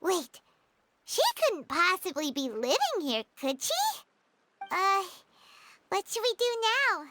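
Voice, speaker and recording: A woman voicing a tiny, excitable fairy companion in a video game, with a squeaky childlike voice and a chatty, exclamatory manner. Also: takes it slowly, pauses often, slight background noise, full character voice. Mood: curious, unsure. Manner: exclamatory, refers to herself in third person. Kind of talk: animated video game voice acting.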